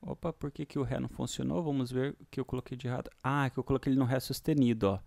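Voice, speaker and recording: A man with a Brazilian accent giving a casual, hands-on music software lesson in an informal, slangy way. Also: medium pitch, steady tone, racing, creaky, heavy background noise. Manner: informal, uses diminutives and slang. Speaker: music software tutorial host. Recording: electronic synth music behind voice. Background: synthesizer and vocoder demo sounds, clicks.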